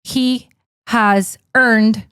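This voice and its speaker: A woman's voice: a little high, drawn-out words, smooth, no room sound, clean studio sound. A woman with a Cuban accent co-hosting a podcast, chatting animatedly and dropping Spanish words as she talks. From Cuban-American. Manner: animated, switches into Spanish words, laughs often. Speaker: podcast co-host. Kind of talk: casual chat.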